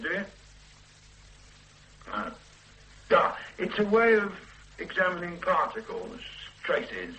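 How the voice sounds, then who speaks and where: An actor playing a hard-pressing police detective who questions a suspect with needling sarcasm in a radio play. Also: male voice, high voice, fairly bright tone, a little hiss or hum, heavily acted, rough recording. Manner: sardonic, needling interrogation. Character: hard-pressing male detective, sardonic, relentless. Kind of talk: 1970s radio drama acting.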